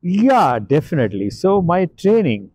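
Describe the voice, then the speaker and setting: A man's voice: a little high, sing-song, a little slow, a little mellow, slightly rough, no room sound. An older man, a guest in an outdoor interview, speaking earnestly and emphatically, often repeating himself for emphasis, in a stone courtyard.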